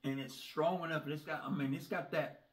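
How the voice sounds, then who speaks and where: A man's voice: on the high side, steady tone, a little fast, far from the mic. A man in his fifties with a Southern US accent, chatting casually as co-host of a beer review. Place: small indoor room, seated at a table. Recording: roomy echo.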